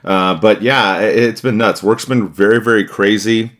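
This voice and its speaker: A man's voice: on the low side, fairly even intonation, normal speed, fairly bright tone. A man hosting a sports podcast, chatting casually and rambling with restarts and fillers. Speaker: podcast host.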